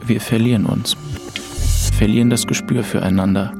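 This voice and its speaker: Radio drama lead: male actor as grieving young narrator, introspective and detached, low with a gravelly edge. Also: fairly even intonation, moderate pace, lightly acted. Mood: detached, melancholy. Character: grieving orphaned young man of twenty-two, aimless and withdrawn. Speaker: first-person narrator. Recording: music behind the voice.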